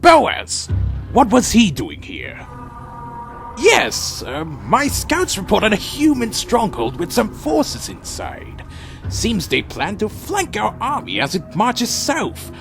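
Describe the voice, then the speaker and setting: A man's voice: high voice, huge pitch swings, slow pace, gravelly, a bit bright, full character voice. A man playing a proud, defiant vampire clan leader in an audio drama, formal and theatrically intense. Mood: tense, commanding. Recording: dramatic music behind.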